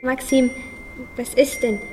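Dreamy little boy played by a woman: slow, even, slightly high, naive wonder, radio drama. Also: music in the background. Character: small dreamy, curious boy asking big questions. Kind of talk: German radio play dialogue.

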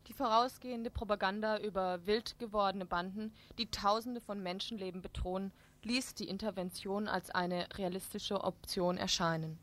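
A woman reads prepared commentary as a radio presenter. Her voice sits in a middle register, and her delivery is formal and measured, with carefully structured sentences. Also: mid-range voice, fairly even intonation, fairly bright tone. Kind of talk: scripted political commentary narration.

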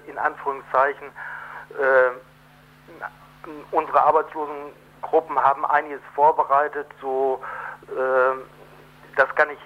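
A man speaking as an activist guest in a live radio interview, halting, earnest and colloquial, over the telephone. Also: a little high. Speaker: activist guest from an unemployed group. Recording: muffled, dull telephone line.

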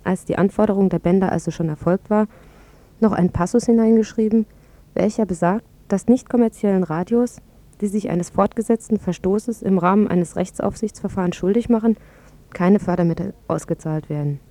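Radio presenter, woman, soft-edged mellow voice, mid pitch, reading scripted news commentary. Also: brisk, no room sound.

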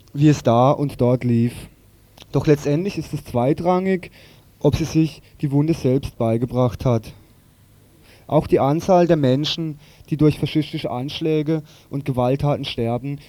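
Mellow man reading radio commentary. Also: medium pitch, dry recording. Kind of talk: scripted political commentary read aloud.

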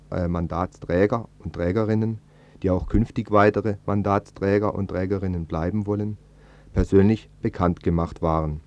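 A man's voice: deep voice, darkish tone. A man delivering a scripted news report as a local radio reporter. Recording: slightly dull lo-fi radio recording.

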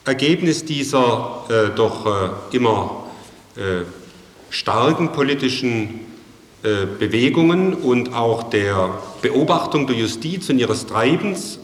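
A man giving interview commentary, halting and searching for words, recorded in a very echoey room. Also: medium pitch, lively intonation.

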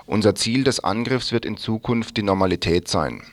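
A man with a fairly low voice reads prepared radio copy. The sound is dry, with little room around the voice. He delivers it as a scripted news and article reading. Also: animated, normal speed, dry recording. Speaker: radio newsreader.

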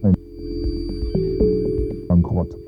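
A man speaking in short sampled statements. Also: extremely slow. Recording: tinny phone-like clip with music. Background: faint music.

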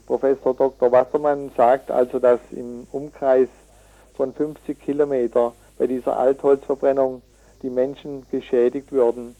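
A man speaks in a radio interview as a campaigner. His voice is dark and mellow at an average pitch. He proceeds haltingly, correcting himself, and words his points with indignant emphasis. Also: dull audio. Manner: halting, with many hesitations and self-corrections. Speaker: activist interviewee from a citizens' environmental initiative. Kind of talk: radio interview, reading out figures and arguing.

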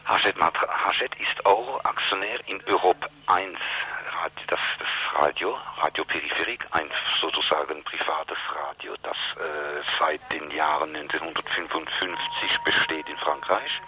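A man, explaining in an interview, haltingly searching for words, on a noisy radio recording. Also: a bass voice, fairly even intonation, sharp tone, husky. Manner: halting, searching for words in non-native German.

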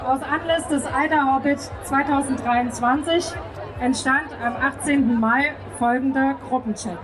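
A woman reading out a prepared public speech in a measured, formal manner at a noisy outdoor event. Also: high voice, steady tone, crowd noise. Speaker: speaker for a queer refugee support group.

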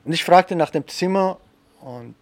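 A man's voice: on the high side, unhurried, no room sound. Reflective man recounting a personal story. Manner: reflective, with frequent fillers and restarts. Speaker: interviewee, education trainer. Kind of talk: interview narrating a personal story.

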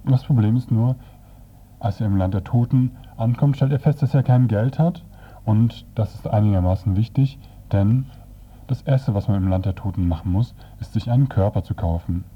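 A man presenting on radio, retelling a comic's story in a dry, hesitant, semi-improvised way with a husky voice. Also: fairly low pitch, normal speed. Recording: dull, lo-fi radio recording.

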